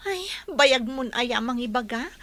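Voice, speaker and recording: Bright, animated scheming young woman. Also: fairly high pitch, measured pace. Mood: conniving. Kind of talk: radio drama acting.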